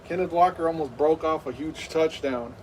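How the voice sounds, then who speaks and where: A man's voice: on the high side, moderate pace, distant mic, slight background noise, rough recording. A man in his thirties hosting a live game stream, rambling with lots of fillers and hyped play-by-play. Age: 30s.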